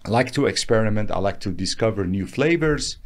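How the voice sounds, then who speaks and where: Low, creaky-voiced middle-aged man. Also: animated, fairly quick. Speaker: guest, entrepreneur and winemaker. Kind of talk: conversational interview answers. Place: small padded podcast studio. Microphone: close studio microphone on arm, headphones.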